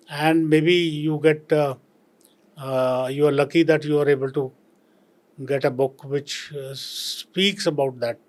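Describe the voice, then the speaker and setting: Older man, Indian accent, fairly high voice, slow thoughtful answers, lots of pauses. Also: a little mellow. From Punjab, India. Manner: slow and reflective, many fillers and restarts. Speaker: retired civil servant guest. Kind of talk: interview answers.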